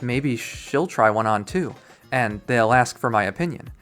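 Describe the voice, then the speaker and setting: A narrator of unclear sex reads a story aloud in a medium-pitched voice. Music plays behind the reading, which is scripted narration from a visual novel. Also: animated, unhurried, a bit bright.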